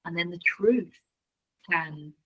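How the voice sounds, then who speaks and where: A woman's voice: lowish voice, takes it slowly, fairly bright tone, husky, rough recording. A woman guest on a podcast, talking about her healing practice in an earnest way and repeating words as she searches for them. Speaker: podcast guest, family constellations facilitator. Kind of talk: casual interview chat.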